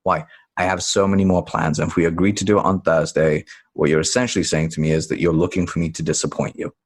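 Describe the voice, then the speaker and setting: Very deep-voiced man. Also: fast talker. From Tanzania. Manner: relaxed, rambling, self-correcting. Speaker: guest. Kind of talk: podcast interview conversation.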